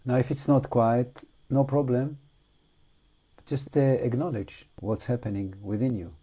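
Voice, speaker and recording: A man who is not a native English speaker guides an unscripted meditation talk, reflective and halting, with long pauses. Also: mid-range voice, fairly even intonation, dark tone, husky. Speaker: meditation teacher.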